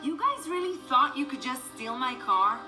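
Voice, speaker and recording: A woman voicing a cool, confident leader of a street-racing gang in an animated story, self-assured and challenging. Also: sits high, normal speed. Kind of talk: animated film character acting. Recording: music behind the voice.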